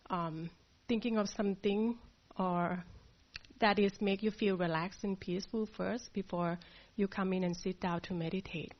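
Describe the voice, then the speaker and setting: A woman from Thailand teaching a meditation class, speaking softly and hesitantly with many pauses. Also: medium pitch. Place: meditation hall. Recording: dull lo-fi sound.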